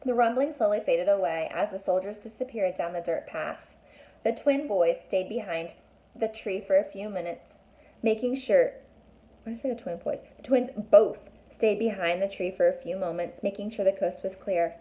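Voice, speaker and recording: A woman's voice: medium pitch, normal speed, dark tone, poor audio. A woman reading a children's book aloud as a teacher would, stopping to explain and put questions to young listeners.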